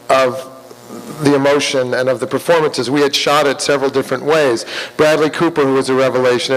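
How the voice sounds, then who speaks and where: A man, a film director, speaking earnestly on a festival panel and slipping in dry jokes. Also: medium pitch. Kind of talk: reflective panel talk. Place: panel stage in a large hall. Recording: reverberant hall.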